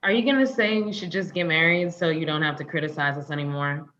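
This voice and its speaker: A woman acts out a sarcastic, argumentative young woman in a comedy read. Her voice is lowish and sharp, with pointed timing, on a slightly dull recording. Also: fairly even intonation, fast. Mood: combative, sardonic. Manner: sardonic, pointed. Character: sarcastic, combative younger woman sparring with father figure. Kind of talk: comedy script table read.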